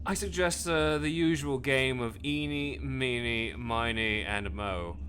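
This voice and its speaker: A man's voice: medium pitch, measured pace, a bit bright, slight background noise, voice pushed up, close to an everyday voice. A man voicing a polite, smug older male butler in a comedy sketch.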